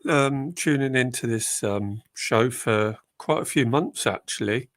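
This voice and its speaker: Guy calling into a stream, bunged up with a cold, slow and halting, dry sound. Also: medium pitch, dry recording. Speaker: call-in guest on a live stream. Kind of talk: casual chat.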